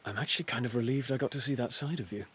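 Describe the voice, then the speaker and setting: A man's voice: medium pitch, rapid-fire, a bit bright, slight background noise, natural voice. A man voicing an easygoing young warrior companion in a video game, casual and frank in his talk. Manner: casual, blunt. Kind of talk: video game cutscene acting.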